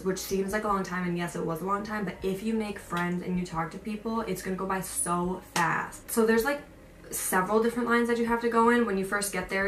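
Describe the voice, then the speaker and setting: A young woman talks casually to her viewers, chatty and emphatic, in a slightly low-pitched voice. She sounds a little away from the mic in a roomy, echoing space. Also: a bit bright. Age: young adult. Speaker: vlogger. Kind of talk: casual storytelling to viewers with advice. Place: indoor room with a picture on the wall. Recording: roomy echo, distant mic, faint noise.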